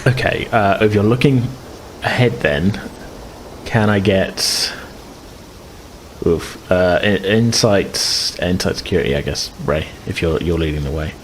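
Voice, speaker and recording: Deep-voiced man running a tabletop game. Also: unhurried, slight background noise, poor audio. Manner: relaxed, hesitant, filler-heavy narration. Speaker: game master.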